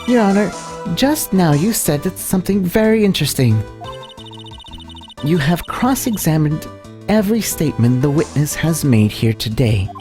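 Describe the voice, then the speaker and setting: A woman voicing a confident, commanding female defense mentor in a courtroom video game, assured and deliberate. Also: deep voice, sing-song, over music. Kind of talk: video game voice-over, read live.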